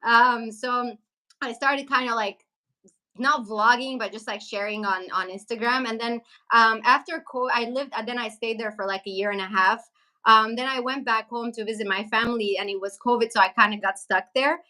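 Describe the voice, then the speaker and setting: Woman from syria chatting on a livestream, bright highish voice, informal storyteller. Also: steady tone, moderate pace, low-quality recording. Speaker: travel vlogger and livestream host. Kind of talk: casual livestream chat.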